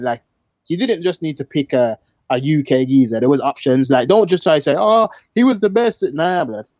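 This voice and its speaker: A man with a London accent arguing in casual podcast debate, using heavy slang in an animated manner. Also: fairly high pitch, no room sound, dull audio. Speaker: podcast co-host.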